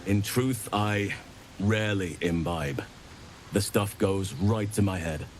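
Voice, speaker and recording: A man playing a gentle, modest adventurer in a video game, courteous, measured and self-deprecating. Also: fairly low pitch, music in the background. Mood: gentle, wry. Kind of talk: video game character acting.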